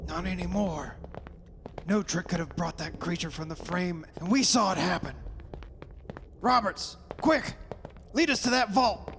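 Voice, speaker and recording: Man acting desperate father, gravelly, reverberant hall. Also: sits high, measured pace, long pauses, fairly bright tone. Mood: anguished, urgent. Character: desperate, anguished father trying to rescue his daughter. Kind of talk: old-time radio horror drama acting. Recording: hissy hall recording with long reverb.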